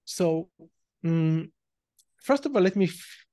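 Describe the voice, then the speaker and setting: A man's voice: high voice, animated, slow pace, mellow, no echo, studio quality. A man in his thirties with an Israeli accent, a podcast guest telling his story and explaining things step by step. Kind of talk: conversational interview.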